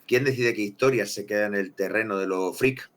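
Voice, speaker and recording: A man's voice: on the low side, a little fast, a bit bright, close to an everyday voice. A man playing an opinionated author, talking emphatically as a guest on a conversational podcast held over a video call. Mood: sardonic, emphatic. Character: opinionated male author debating conspiracy theories. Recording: video call with faint noise.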